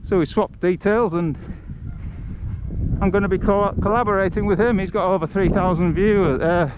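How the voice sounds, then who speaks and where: Male livestream host, casual and chatty on a walk, muffled audio with clear wind noise. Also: high voice, fairly even intonation, moderate pace. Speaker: livestream host and travel vlogger. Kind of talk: casual livestream chat while walking. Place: outdoors, walking along a village road. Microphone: action camera on a head strap. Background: wind and ambient outdoor noise.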